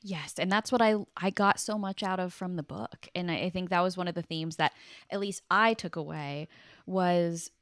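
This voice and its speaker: A woman's voice: fairly low pitch, moderate pace, fairly bright tone, clean tone, no echo, clean studio sound. A woman in her thirties speaking earnestly and emphatically in a reflective, conversational interview as a guest. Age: 30s. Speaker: podcast guest, writer.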